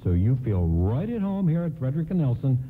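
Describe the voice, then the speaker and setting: A man's voice: medium pitch, big pitch swings, fairly quick, natural voice. An actor in a radio play playing a kindly, courteous and reassuring department store doorman. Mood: eager, helpful. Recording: lo-fi, tinny, noisy radio broadcast.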